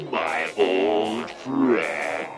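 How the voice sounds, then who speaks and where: A menacing alien warlord for an audio adventure record, very deep and extremely slow. He gloats and mocks as he drags out his words, with loud music behind him on a vintage LP. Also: lively intonation, exaggerated voice. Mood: gloating menace.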